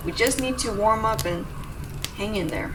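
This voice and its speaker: A young woman voice actor playing a gruff but devoted tsundere rescuer in an intimate, emotional audio roleplay. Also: medium pitch, normal speed, natural voice. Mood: worried, firm. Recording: distant mic, clear background noise ambience.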